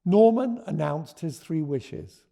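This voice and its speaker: A man with a mellow, creaky voice, pitched a little high for a male speaker. He talks as a children's storyteller, earnest and deliberate in his phrasing. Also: expressive. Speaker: author and storyteller. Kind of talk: expressive picture-book read-aloud and informal explanation.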